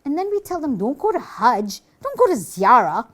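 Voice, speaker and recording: A woman's voice: high-pitched, sing-song, moderate pace, a bit bright, exaggerated voice. A woman voicing a cheeky, boastful devil puppet with a brash, wisecracking manner in a comic educational puppet show. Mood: boastful, complaining.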